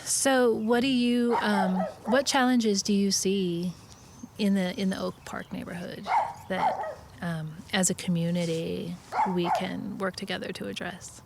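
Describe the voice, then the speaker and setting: Woman host, gravelly voice, far from the mic, loud background noise. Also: medium pitch, steady tone, unhurried. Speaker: podcast host. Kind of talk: interview questions. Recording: noisy, distant mic.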